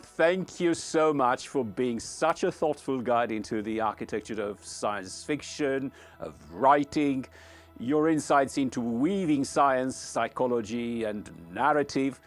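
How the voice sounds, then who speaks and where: A man with a medium-pitched voice speaks as a podcast host. His phrasing is measured, earnest and formal, like a prepared sign-off, with light music in the recording. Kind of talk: scripted, formal podcast outro.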